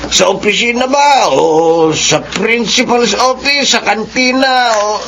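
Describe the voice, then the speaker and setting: Noisy radio drama recording, old Filipino grandpa, creaky, unhurried, fussy, caring, questioning. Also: male voice, extremely high pitch, heavily acted. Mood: probing, concerned. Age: older.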